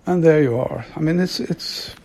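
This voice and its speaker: A middle-aged man from Sweden, an author and devotee, talking reflectively in a slow, halting way with frequent soft laughs. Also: on the high side. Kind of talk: reflective monologue.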